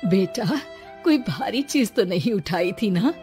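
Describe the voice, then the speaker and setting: A woman's voice: mid-range voice, expressive, slow, warm tone, soft background music. A woman voicing a concerned, admonishing mother of a grown daughter in a story.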